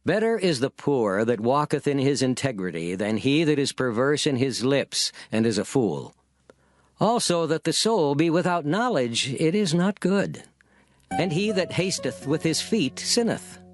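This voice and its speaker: A man's voice: medium pitch, very animated, a little slow, dry recording. A man giving a solemn, declamatory dramatized reading of the Bible. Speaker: scripture reader. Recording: faint music behind voice. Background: soft instrumental music.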